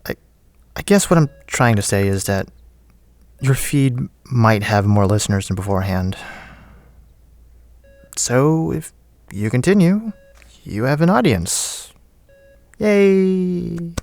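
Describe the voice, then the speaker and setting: An actor playing a friendly, teasing friend with a hesitant, chatty manner in a naturalistic audio drama. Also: male voice, medium pitch, lively intonation, slow pace, gravelly, pauses often, darkish tone, dry recording. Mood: friendly, nervous, teasing. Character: friendly, teasing male friend leaving a recorded message.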